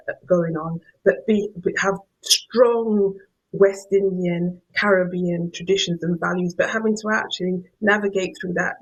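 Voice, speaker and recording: A woman's voice: mid-range voice, vocal fry, darkish tone, breathy edge, low-quality recording. A middle-aged woman from Yorkshire with a Yorkshire accent gives an academic lecture, often repeating words as she searches for the next phrase. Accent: Yorkshire English. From Yorkshire, England. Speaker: professor giving a guest lecture.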